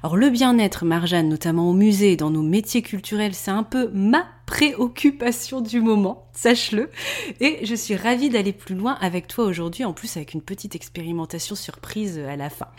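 A woman's voice: medium pitch, moderate pace. Enthusiastic woman podcast host. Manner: lively, enthusiastic. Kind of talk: podcast interview hosting.

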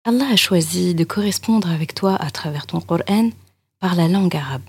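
A young adult woman hosting a podcast as a Quran teacher, talking earnestly and gently straight to the listener. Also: on the low side, normal speed, breathy edge, dry recording. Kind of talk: reflective spoken podcast talk.

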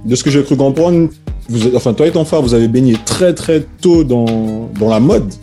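A male host with a mellow, warm tone runs an interview, asking his questions in a relaxed and upbeat way. His voice sits at a middle pitch. Faint music plays softly under his voice. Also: normal speed. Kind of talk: radio-style interview hosting.

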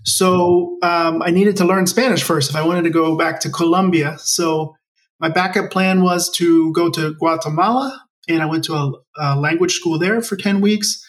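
A man's voice: a little high, steady tone, normal speed. An American man in his forties, telling his personal story conversationally as an interview guest. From Maryland, United States. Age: 40s. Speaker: interview guest, nonprofit project leader. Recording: distant from the mic.

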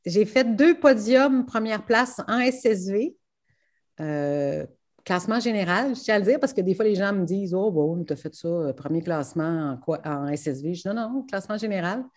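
A woman speaks French with a Québécois accent at a middle pitch. She sounds enthusiastic and keen, laughing between answers and filling pauses with "euh" as she talks about her passion. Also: expressive, a little mellow. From Quebec. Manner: enthusiastic, laughs often, many fillers. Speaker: rally co-driver. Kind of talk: interview answers.

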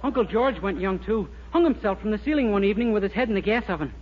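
Naive young American husband, high tenor pitch, blithely grim delivery, 1940s radio sitcom, dull noisy recording. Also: male voice, animated, fast talker. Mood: blithely morbid. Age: young adult. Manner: blithe, matter-of-fact about grim things. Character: naive young husband feigning suicidal gloom, cheerfully morbid. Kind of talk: 1940s radio comedy acting.

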